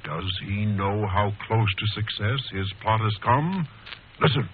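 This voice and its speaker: An actor playing the suspenseful, emphatic announcer narrating a 1940s radio adventure serial. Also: male voice, on the low side, expressive, measured pace. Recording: tinny, hissy old radio broadcast.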